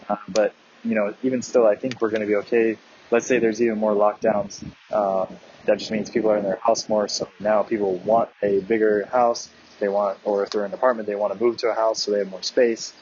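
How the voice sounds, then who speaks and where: A man giving a casual, halting investment commentary from notes, often correcting himself as he goes. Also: on the low side, darkish tone. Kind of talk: casual earnings call analysis from notes. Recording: muffled audio with clear background noise.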